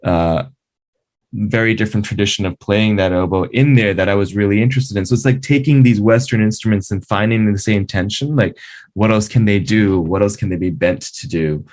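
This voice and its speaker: A man's voice: fairly low pitch, brisk, darkish tone. A man, a film composer, talks through his ideas in a casual interview, with frequent fillers like "you know" and "like". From Canada.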